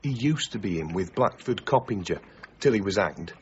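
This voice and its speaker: Actor as blunt, knowing underworld informant. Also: male voice, fairly low pitch, animated, normal speed, darkish tone, lo-fi sound, a little hiss or hum, natural voice. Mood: matter-of-fact. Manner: blunt, gossipy. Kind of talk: period radio drama acting.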